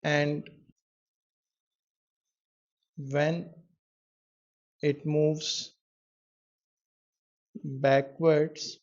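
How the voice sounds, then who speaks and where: Slow, flat-toned male tutor. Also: a little high. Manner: measured, pausing while writing out answers. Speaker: physics tutor. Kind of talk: tutorial explanation of an exam question. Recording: dull lo-fi microphone sound.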